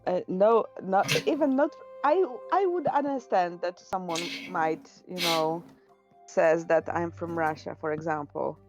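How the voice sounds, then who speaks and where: Slow, halting Polish woman. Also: lowish voice, over music. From Poland. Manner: hesitant, halting, with many restarts. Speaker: streamer. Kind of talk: casual chat on a game stream. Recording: dull lo-fi stream audio.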